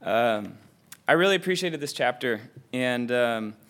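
A man with a voice gone raspy from being sick shares quotes from a chapter with his church group. He reads the passages out with a few ums between them. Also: mid-range voice, animated, unhurried, fairly bright tone. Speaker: class member sharing a book chapter. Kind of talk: reading quotes with reflections.

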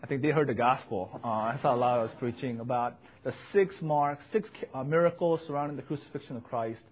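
A young man, a pastor, preaching with earnest emphasis and a loud voice, swelling to fervent peaks. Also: medium pitch, fairly quick, breathy edge, muffled recording. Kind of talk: sermon with personal report.

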